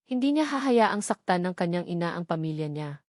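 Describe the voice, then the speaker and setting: A woman's voice: lowish voice, very animated, fairly quick, darkish tone, no echo, clean studio sound. A woman reading scripted narration of a dramatic love story.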